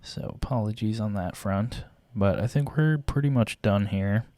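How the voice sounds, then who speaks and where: Young male vlogger, low voice, slightly raspy, halting casual ramble, dry close desk mic. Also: mellow, no room sound. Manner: hesitant, many fillers and restarts, clears throat often. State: voice getting raspy. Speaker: photographer and vlogger. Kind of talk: casual rambling commentary while photo editing.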